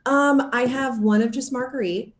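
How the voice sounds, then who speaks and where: An American woman in a casual interview chat, animated and giggly, often acting out her own reactions. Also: fairly high pitch, normal speed. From Seattle, Washington, USA. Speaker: interview guest, actor. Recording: roomy, echoey sound.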